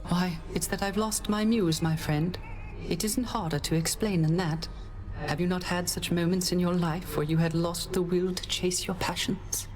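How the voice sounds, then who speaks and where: A man voicing a gentle, melancholy court musician in a video game, mild, wistful and resigned. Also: sits high, steady tone, moderate pace, faint music behind, close to an everyday voice. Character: gentle, melancholy male court musician who lost his muse.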